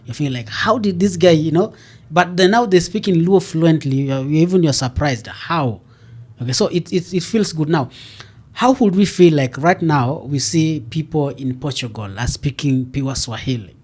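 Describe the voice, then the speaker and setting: A man with a Kenyan accent leads a podcast conversation as host. He keeps up a steady run of "yeah" and "mm-hmm" while his guest talks. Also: a little high, normal speed. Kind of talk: interview conversation.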